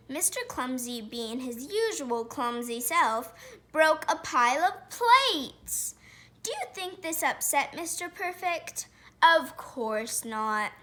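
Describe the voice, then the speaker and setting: A woman reading a children's picture book aloud, pausing to point out pictures and put questions to listeners. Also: high voice, sing-song, pushed and bright. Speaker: storybook reader.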